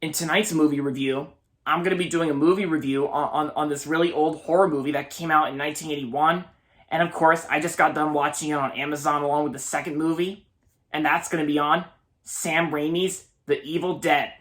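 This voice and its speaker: Halting young man reviewing a film. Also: a little high, fairly even intonation, fairly bright tone. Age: 20s. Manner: halting, many repeated words and restarts; enthusiastic, gushing. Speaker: movie reviewer. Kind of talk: casual movie review monologue.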